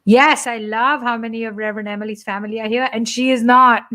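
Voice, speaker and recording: A woman's voice: fairly high pitch, steady tone, quick pace, pushed and bright. A middle-aged woman from India with an Indian accent, hosting a playful, chatty live stream for her church and joking with viewers. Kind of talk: casual live-stream chat.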